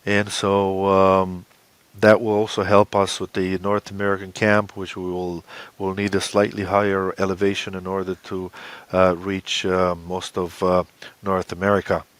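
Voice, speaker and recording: A man with a low, smooth voice explains plans as an interviewed team leader. His delivery is hesitant, broken up by very frequent uhs. The sound is dry and close. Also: completely flat delivery, moderate pace, dry recording.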